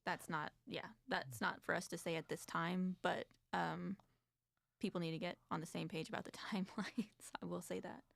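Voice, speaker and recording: A woman's voice: fairly low pitch, steady tone, normal speed, gravelly, a bit bright, no echo. A woman co-hosting an advocacy talk show, chatting casually and reading documents aloud with commentary. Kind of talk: casual discussion.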